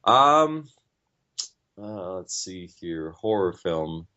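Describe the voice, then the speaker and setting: A man's voice: deep voice, very animated, drawn-out words, pushed and bright. A man talking casually as an interview guest, in an informal, rambling and enthusiastic way. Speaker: interview guest, podcast writer and director. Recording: dull, lo-fi audio.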